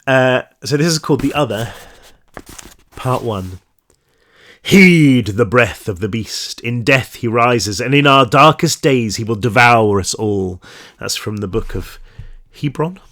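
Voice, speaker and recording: A man's voice: mid-range voice, lively intonation, fairly bright tone. Man reading horror with a stuffy nose. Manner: slow deliberate reading, hesitant fillers between passages. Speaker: author reading his own novel aloud. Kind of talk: dramatic horror book reading.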